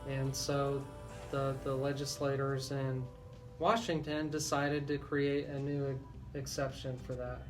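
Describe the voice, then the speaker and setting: A man speaking as a legal expert on a radio talk show, explaining things deliberately with frequent pauses. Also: medium pitch, level tone, music in the background, low-quality recording.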